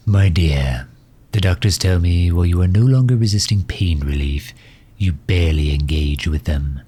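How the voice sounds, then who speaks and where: A man playing a courtly megalomaniac villain in an ASMR audio drama, speaking formally and with measured, gentle solicitude. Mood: gentle concern. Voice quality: husky, low. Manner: formal, measured, silky endearments.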